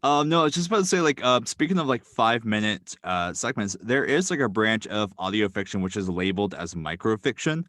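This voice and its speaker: A young man, a guest writer, speaking casually and quickly with many fillers like "um" and "like.". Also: fairly low pitch, very animated, fairly bright tone, no room sound, clean studio sound. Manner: quick, filler-heavy, thoughtful. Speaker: guest, audio drama writer. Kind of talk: casual interview talk.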